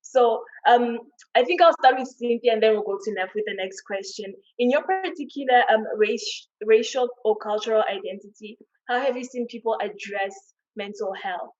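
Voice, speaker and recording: A woman's voice: fairly high pitch, normal speed, hardly any pauses, dull audio. A woman hosting a panel conversation, friendly and admiring, asking long, rambling questions. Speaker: host.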